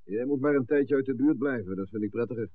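An actor playing a protective, firm middle-aged father, brisk and reassuring, in a 1960s radio drama. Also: mid-range voice, close to an everyday voice. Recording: tinny, faintly noisy 1960s radio recording.

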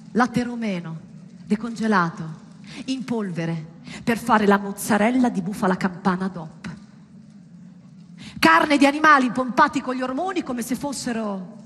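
High-voiced theatrical woman in a reverberant hall. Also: a bit bright. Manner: dramatic, ironic, direct address with rhetorical questions. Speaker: stage actress performing a monologue. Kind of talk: theatrical monologue addressed to a live audience. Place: indoor performance space, live. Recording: reverberant hall with background noise.

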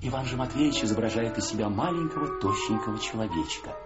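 A man narrating a scripted story for a radio play in an expressive, theatrical way. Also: on the low side, fast. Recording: dull recording with loud music behind.

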